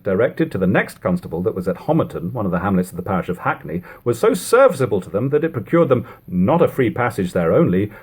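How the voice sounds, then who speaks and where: A man reading an old literary narrative aloud expressively, in measured phrases with emphatic stresses and a husky voice. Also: mid-range voice, darkish tone. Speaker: audiobook reader. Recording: homemade lo-fi reading.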